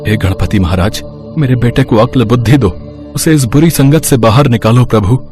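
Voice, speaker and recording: Storybook father, angry and pleading, played by a man, pitched below his usual voice, some distance from the mic. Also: normal speed, darkish tone, soft background music, lightly acted. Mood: praying. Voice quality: scolding, pleading. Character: stern worried father, middle-aged man. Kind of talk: Hindi storybook voice acting.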